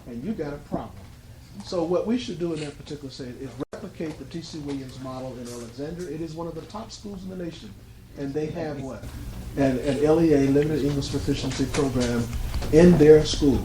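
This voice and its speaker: A man's voice: fairly high pitch, brisk, echoey room, noisy background. A man speaking up at a community meeting, emphatic and argumentative, using rhetorical questions. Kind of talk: public comments at a meeting. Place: art gallery meeting room.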